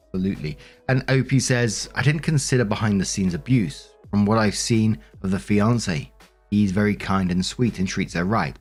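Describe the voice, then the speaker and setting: Guy with a lowish voice reading internet stories, chatty but measured, bit of music behind him. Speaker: host and narrator of Reddit story compilations. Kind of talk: reading online stories aloud with commentary.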